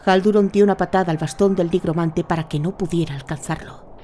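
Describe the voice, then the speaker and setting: A woman's voice: fairly low pitch, fairly even intonation, brisk, noisy background. A woman narrating a fantasy audio drama in a scripted, dramatic storytelling style.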